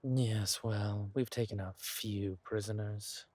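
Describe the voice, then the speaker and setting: Guy doing a suave villain king for roleplay audio, husky voice, takes his time, smooth talker, kinda threatening. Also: lowish voice, fairly even intonation, slow, a little mellow, no room sound. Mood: controlled, conspiratorial. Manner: smooth-talking, drawling, teasing, theatrically courteous. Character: suave, menacing villain king, former criminal, doting on his lover. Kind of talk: roleplay audio drama acting.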